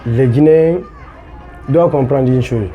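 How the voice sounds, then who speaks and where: A man from Guinea with a Guinean French accent reasoning in a measured way in a street interview. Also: medium pitch, phone-quality sound, a crowd in the background.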